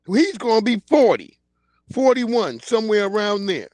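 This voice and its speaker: A man's voice: sits high, big pitch swings, moderate pace, a little mellow, dry recording. A man in his forties hosting a livestream, ranting bluntly and in slang about the news of the day. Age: 40s. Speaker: livestream host. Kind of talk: casual commentary livestream. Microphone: streaming mic.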